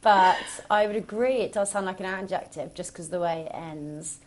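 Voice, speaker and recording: A woman's voice: on the low side, moderate pace, darkish tone. A woman with an English accent chatting casually as a quiz contestant, blunt and a little self-deprecating. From London, England. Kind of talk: casual chat.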